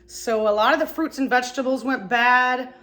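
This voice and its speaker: Stuffy-nosed middle-aged woman rambling at home, kinda high voice, jokes about herself. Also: normal speed, a bit bright. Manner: chatty, rambling, self-deprecating. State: congested with a cold. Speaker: vlogger. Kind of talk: casual chatty cleaning vlog. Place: home kitchen.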